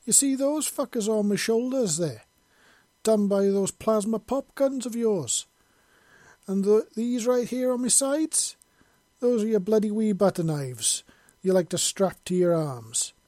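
A man's voice: on the high side, moderate pace, warm tone, dry recording, natural voice. A man voicing an older Scottish ex-soldier with a Scottish accent, blustering and swearing defiantly in a storybook reading. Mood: indignant. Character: older Scottish male ex-soldier, brash and profane.

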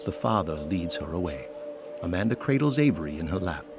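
A man's voice: deep voice, very animated, fairly quick, music in the background. A man reading scripted dramatic narration for an audio drama.

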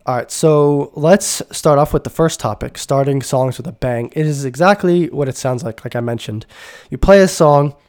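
A man's voice: fairly high pitch, normal speed, darkish tone. A man hosting a casual music podcast, sounding stuffy and nasal from a cold, talking enthusiastically with rambling asides and self-corrections. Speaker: podcast host.

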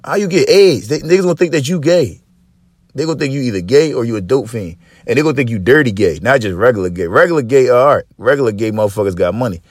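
A man hosting a podcast in casual chat, with a slightly raspy voice and loose, profane, joking rants. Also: expressive, quick pace, dry recording, clean studio sound. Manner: profane, joking rants with big pitch swings. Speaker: podcast host.

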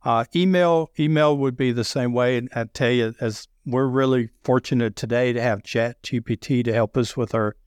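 A middle-aged man with a deep Southern accent, talking loudly and telling sales stories with many restarts. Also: normal speed, hardly any pauses, clean tone, no echo, studio quality. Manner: loud, animated storyteller with frequent restarts. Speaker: sales podcast guest. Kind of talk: casual conversation.

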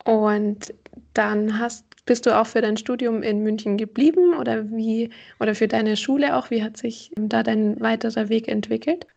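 Gravelly higher-voiced woman host. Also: fairly even intonation, normal speed, a little mellow. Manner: friendly, curious questioning. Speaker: podcast co-host. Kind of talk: podcast interviewing.

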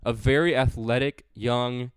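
A man's voice: mid-range voice, steady tone, a little slow, clean tone, dry recording. A man hosting a casual sports podcast, chatty and joking, with frequent fillers. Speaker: podcast host.

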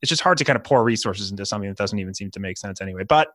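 A man's voice: lowish voice, expressive, very fast, bright, forceful tone, dry recording, clean studio sound. A man hosting a podcast interview, asking questions in a rambling, hesitant way with folksy asides. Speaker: podcast host.

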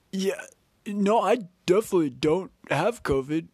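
Winded, coughing party dude, nervous and loud denials, dry mic, a woman putting it on. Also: lowish voice, husky, no echo, exaggerated voice. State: out of breath, coughing. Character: sweaty breathless male partygoer, defensive.

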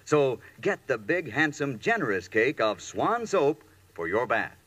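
A man's voice: mid-range voice, big pitch swings, a little slow, fairly bright tone, dry recording. A man announcing in 1940s radio style, with a polished, salesmanlike delivery.

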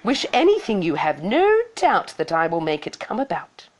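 A woman voicing a brisk, generous magic fairy with a lilting, encouraging manner. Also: lowish voice, wildly swooping pitch. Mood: kindly, brisk.

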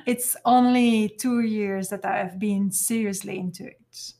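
Podcast guest: Belgian woman, native French speaker, soft-spoken, laughs easily, searches for words. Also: mid-range voice, steady tone, a little slow. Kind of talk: casual interview chat.

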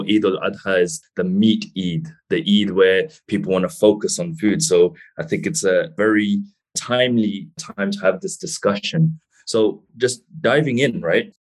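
A man hosting an interview, talking in a relaxed, conversational way and using Islamic phrases. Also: lowish voice. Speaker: host. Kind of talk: casual interview.

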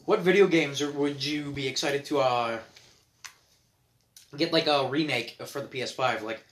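A man's voice: medium pitch, moderate pace, fairly bright tone, roomy sound. A teenage man co-hosting a casual podcast at his house, rambling with many fillers and dry jokes. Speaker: podcast co-host.

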